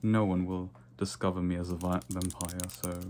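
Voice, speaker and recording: A man playing a vain, condescending yet flirtatious vampire in a soft-spoken, nonchalant ASMR roleplay. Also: sits low, monotone, slight background noise, close to an everyday voice. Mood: smug, flirtatious. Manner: soft-spoken, unhurried, repeats words in whispers.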